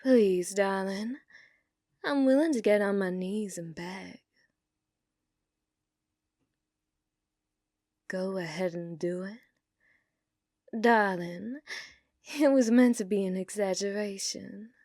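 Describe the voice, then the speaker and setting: A woman's voice: mid-range voice, slow pace, mellow, no echo. Woman acting a teasing, dominant bounty hunter, close and dry. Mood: pleading, playful. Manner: coaxing, drawling endearments, drops into breathy whispers. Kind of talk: ASMR roleplay, scripted character acting.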